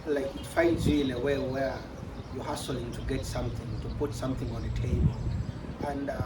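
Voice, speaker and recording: A man's voice: mid-range voice, fairly even intonation, moderate pace. Reflective Ugandan man telling his story. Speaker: interview guest. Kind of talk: casual interview. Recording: distant mic, loud background noise.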